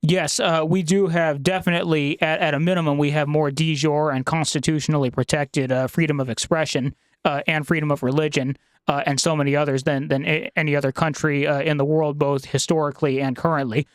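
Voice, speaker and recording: A man with a slightly high, clear voice hosts a podcast in a dry-sounding space. His phrasing is formal and wordy, and he often halts and repeats words as he builds a sentence. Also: flat delivery, a little fast, no room sound. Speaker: podcast host. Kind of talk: formal podcast introduction and interviewing.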